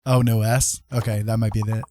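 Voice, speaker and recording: A man's voice: on the low side, flat delivery, a little fast, mellow, a little hiss or hum. A man hosting a casual livestream, commenting on a game in an encouraging, jokey manner. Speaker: livestream host.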